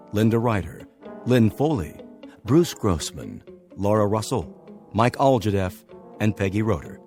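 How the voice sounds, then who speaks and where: A man's voice: fairly low pitch, a little mellow. A man reading scripted closing credits as the announcer of a radio drama.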